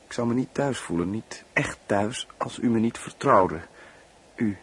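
An actor playing a polite, guarded young male house guest in a radio drama, reserved and measured in his speech. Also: lowish voice, fairly bright tone, husky. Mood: measured, hesitant. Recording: radio play with faint background noise.

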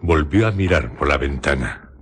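A man narrating a radio drama in a measured, suspenseful storytelling manner. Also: extremely low pitch, animated, slightly rough.

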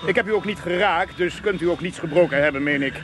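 An actor playing a flustered, conciliatory well-to-do motorist in a radio comedy, speaking politely and haltingly. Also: male voice, on the high side, close to an everyday voice. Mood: placating, hurried.

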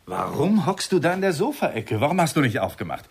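A man's voice: on the high side, big pitch swings, a little fast, gravelly, husky, heavily acted. A man acting a probing, suspicious but outwardly affectionate husband in a radio play, familiar and questioning.

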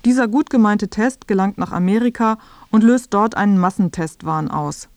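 A woman reading scripted feature narration in a clear, explanatory way. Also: mid-range voice, darkish tone, no echo.

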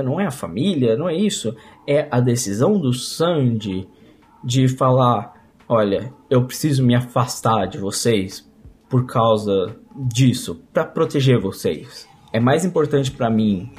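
A man in his twenties with a Brazilian accent, giving a reflective personal monologue, thinking aloud with emphatic pauses and repeated phrases. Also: mid-range voice, a little mellow. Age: 20s. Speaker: vlogger giving personal commentary. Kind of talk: reflective spoken audio-log monologue. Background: music.

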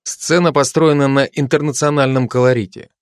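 A man reading the stage directions of a screenplay aloud in a plain, even manner. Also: mid-range voice, brisk, clear, resonant tone, no room sound, studio quality. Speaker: narrator.

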